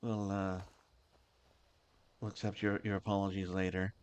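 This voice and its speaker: A man hosting a game livestream, chatting casually in a halting, rambling way. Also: low voice, little pitch movement, smooth. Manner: halting and rambling, many restarts. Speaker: game streamer, host.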